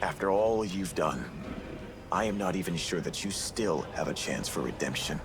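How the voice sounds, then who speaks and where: A grave companion from a fantasy game. His voice is deep and solemn, disapproving but pleading. Ambient noise is clear behind him. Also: expressive, moderate pace. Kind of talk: video game voice acting.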